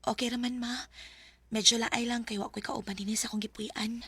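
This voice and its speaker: A woman playing a gentle, shy young woman in a Bisaya radio drama, speaking softly and hesitantly with a Bisaya accent. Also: fairly high pitch, little pitch movement, brisk, slightly rough, no echo, heavily acted. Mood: resigned. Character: Murmur, gentle shy young woman, later a childless wife.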